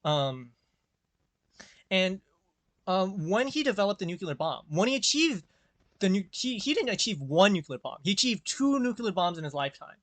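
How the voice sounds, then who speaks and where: An earnest young man with an American accent talks casually, wandering off and restarting his sentences often. His voice sits a little high for a man. The recording is dry, with no room sound. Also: big pitch swings, moderate pace, a bit bright, no echo. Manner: rambling, many restarts and fillers, earnest. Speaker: video creator. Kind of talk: casual rambling commentary.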